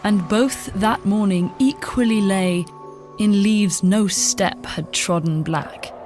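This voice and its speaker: A woman reciting a poem with measured, deliberate phrasing. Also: medium pitch, darkish tone. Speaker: poetry reader. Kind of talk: poetry recitation. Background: music.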